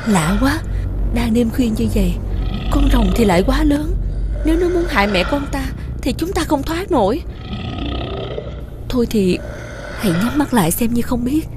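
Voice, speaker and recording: A woman playing a humble, protective village mother with a Southern Vietnamese accent in an animated fairy tale. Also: fairly high pitch, moderate pace, long pauses, background noise. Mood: wary. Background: music.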